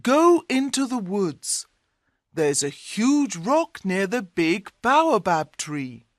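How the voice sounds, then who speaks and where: A woman voicing a cautious, earnest man, the hero of a storybook tale, in a deliberate manner. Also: mid-range voice, big pitch swings, dry recording, clean studio sound. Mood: urgent warning. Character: Ali Baba, cautious kindly man, fairy-tale hero. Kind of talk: storybook character voice.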